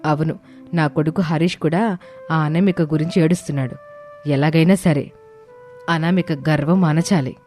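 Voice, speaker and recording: A woman's voice: deep voice, steady tone, normal speed, pauses often, a little mellow, natural voice. A woman voicing a resentful, scheming elder sister-in-law, the villain of a family moral story. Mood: spiteful. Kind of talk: dramatized moral story dialogue. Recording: music bed under the voice.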